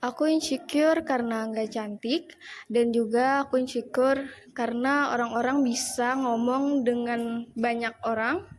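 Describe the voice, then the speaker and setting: A woman's voice: on the high side, steady tone, a little slow. A young woman answering interview questions candidly about herself, with a shy manner. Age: young adult. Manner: self-described shy introvert.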